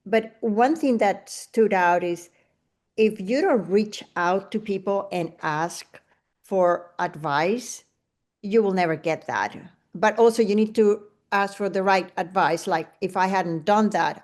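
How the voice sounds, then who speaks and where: A woman's voice: lowish voice, a little mellow. A middle-aged woman from Venezuela with a Venezuelan Spanish accent, hosting a podcast interview and reflecting in long, winding sentences. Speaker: podcast host. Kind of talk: casual interview conversation.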